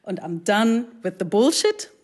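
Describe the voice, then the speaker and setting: A woman in her forties talking reflectively, often pausing with fillers as she searches for words and mixing in English phrases. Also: mid-range voice, wildly swooping pitch, a little mellow. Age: 40s. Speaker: guest. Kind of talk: casual conversation.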